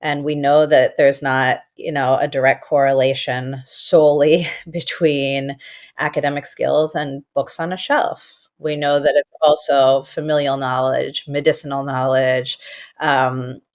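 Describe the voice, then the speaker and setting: A woman educator, a native Farsi speaker, talking through her ideas in a conversational interview, thoughtful and emphatic, slowing to stress key words. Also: extremely low pitch, flat delivery. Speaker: guest educator and author.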